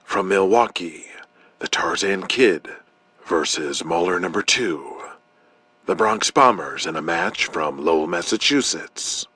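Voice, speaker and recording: Deep, husky, boastful wrestling promoter. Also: male voice, a bit bright, no room sound. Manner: grand, self-important. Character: boastful, grandiose male wrestling promoter on television. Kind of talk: 1980s wrestling promotional speech.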